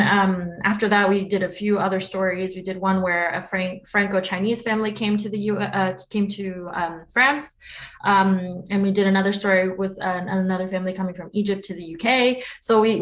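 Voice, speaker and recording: Woman, American accent, interview guest, conversational, thoughtful, explanatory, mid-pitched voice. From New York City, United States. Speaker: interview guest, podcast producer.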